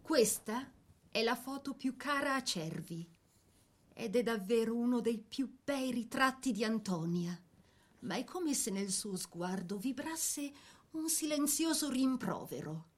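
A woman narrating a scripted radio drama in a measured, expressive storytelling manner. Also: fairly high pitch.

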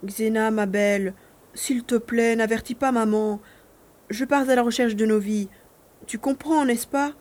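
A woman's voice: on the high side, steady tone, unhurried, a little mellow, lightly acted. A woman playing an eager, stubborn young boy in a dramatised audiobook, speaking expressively and earnestly. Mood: pleading, grateful. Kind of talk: dramatised audiobook, first-person narration and dialogue.

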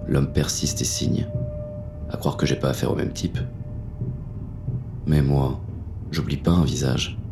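A very deep, dark-toned male actor's police inspector narrates noir fiction. He is weary and menacing, telling it tersely and deliberately, with faint music underneath. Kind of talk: scripted first-person noir audio fiction narration.

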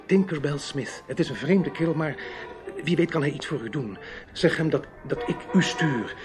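An actor playing a courteous, gallant man in a radio play, giving advice in a measured manner. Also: on the high side, lively intonation, over music, natural voice. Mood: confiding, gallant. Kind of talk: Dutch radio play acting.

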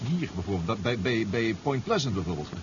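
A man's voice: fairly low pitch, very animated, a little fast. Fretful man, noisy old radio serial. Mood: tense, hesitant. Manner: hesitant, fretful. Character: anxious, doubting male companion on a secret mission. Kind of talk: 1970s Dutch science-fiction radio play acting. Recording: dull, noisy 1970s radio drama recording.

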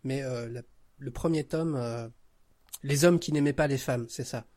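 A man's voice: mid-range voice, measured pace, a little mellow. A man chatting casually as a podcast guest, enthusiastic and often searching for his words. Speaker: podcast guest, audio drama creator. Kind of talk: casual chat.

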